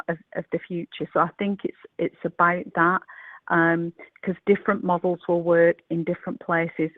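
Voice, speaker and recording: A woman's voice: on the low side, no echo. A woman panelist speaking over a video call, rambling with many fillers and restarts. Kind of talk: webinar panel discussion. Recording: tinny phone-like video call audio.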